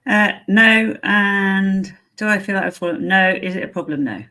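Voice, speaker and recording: A woman's voice: medium pitch, moderate pace, sharp tone. A woman co-hosting a casual podcast chat, blunt, confident and matter-of-fact. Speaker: podcast co-host.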